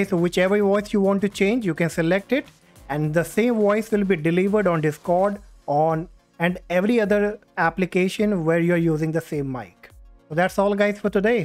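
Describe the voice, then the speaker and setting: A man hosting a step-by-step software tutorial, speaking into a USB condenser microphone. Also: sits high. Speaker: tutorial host. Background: music.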